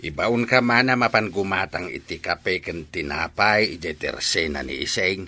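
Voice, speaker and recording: A man's voice: low voice, normal speed, bright, forceful tone. A man with an Ilocano accent playing an older father handing out errands in an audio drama. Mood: bossy, brisk. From Philippines. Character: older father giving errands to his children.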